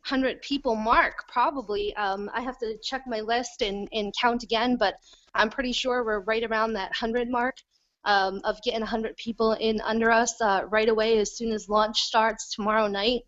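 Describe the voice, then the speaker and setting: A woman talking excitedly on a call from her phone, chatty and caffeinated. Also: on the high side, moderate pace. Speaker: guest, team leader. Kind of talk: casual chat on a video call. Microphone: iPhone. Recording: dull lo-fi phone audio on a call.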